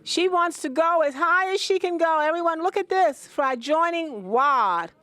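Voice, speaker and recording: A woman's voice: very high-pitched, animated, a little slow, sharp tone, no room sound. A woman interviewing guests at an event as the host, repeating their answers aloud for the audience.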